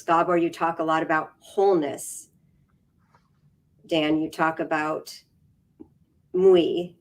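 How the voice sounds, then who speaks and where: A woman moderating a panel discussion, asking searching, thoughtful questions and restarting phrases as she thinks. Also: low voice.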